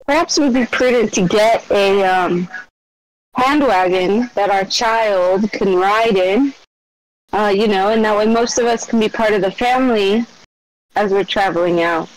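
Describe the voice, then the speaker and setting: A woman's voice: medium pitch, measured pace, dry recording, rough recording. Woman player, talkative planner, dry close mic, casual game talk. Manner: chatty, plans out loud. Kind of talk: playing a tabletop game online.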